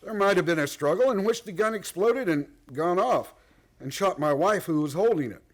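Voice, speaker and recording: A man's voice: high-pitched, expressive, moderate pace. An actor playing a proud, domineering, wealthy man in a radio play, blunt, formal and defensive. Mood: explaining, sombre.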